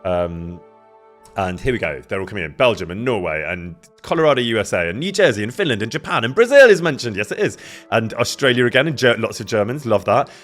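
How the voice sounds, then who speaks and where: A man casually chatting with his live-stream viewers, greeting them by name and laughing often, with a loud voice that carries. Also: lowish voice, sing-song, quick pace, soft background music. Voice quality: loud, carrying voice. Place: indoor room near an event space.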